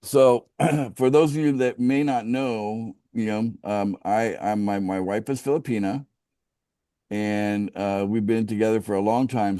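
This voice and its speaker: A middle-aged American man from the West Coast, pitching a business opportunity on a casual group call, often restarting sentences and asking the group for agreement. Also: dark tone, no room sound, clean studio sound. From United States, West Coast. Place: outdoors by a home pool.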